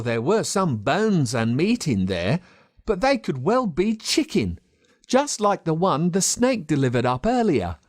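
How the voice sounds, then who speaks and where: An Australian man reading a story aloud as a dramatic narrator, expressive and measured with telling pauses. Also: on the high side, no echo, clean studio sound. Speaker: audiobook narrator. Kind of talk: dramatic scripted storytelling in first person.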